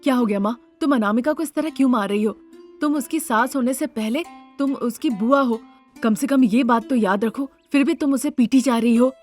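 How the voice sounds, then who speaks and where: Woman playing a scheming young son, high-voiced. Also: normal speed, darkish tone, faint music behind, close to an everyday voice. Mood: indignant.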